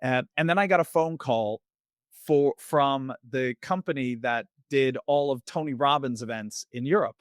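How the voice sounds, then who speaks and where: A man's voice: mid-range voice, normal speed, dry recording, studio quality. A man raised in Sweden who speaks with an American accent, telling his story as a podcast guest and acting out the voices of people from his past. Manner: animated, acts out both sides of past conversations. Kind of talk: conversational storytelling.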